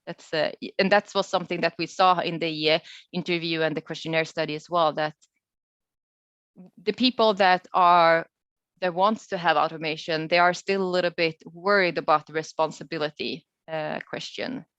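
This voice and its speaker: A woman from Sweden speaking as a guest researcher, explaining haltingly in an unscripted webinar question session over a video call. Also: low voice, steady tone, a bit bright, clear, resonant tone, no echo. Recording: dull, lo-fi video call audio.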